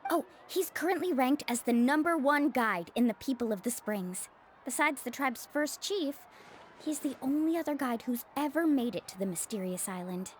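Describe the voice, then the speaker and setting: Cheery young game shopkeeper and guide, high pitch, animated intonation, chatty, reassuring. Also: female voice, moderate pace, a little hiss or hum. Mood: explaining. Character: friendly, upbeat young woman island guide and shopkeeper. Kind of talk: video game voice acting.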